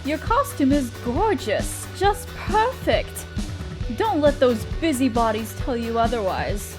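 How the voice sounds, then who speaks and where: A woman plays a kindly young witch in an audio roleplay, with a high voice and an unhurried, savoring delivery. She is coy and theatrical, fond of old-fashioned endearments, and swings widely in pitch over a music backing. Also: expressive. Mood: coy, reassuring. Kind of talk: scripted roleplay audio drama.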